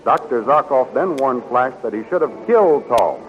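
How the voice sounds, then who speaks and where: A man's voice: medium pitch, animated, normal speed, a little hiss or hum. A man narrating a 1930s radio serial as announcer, declamatory and dramatic. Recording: dull 1930s radio recording, faint music.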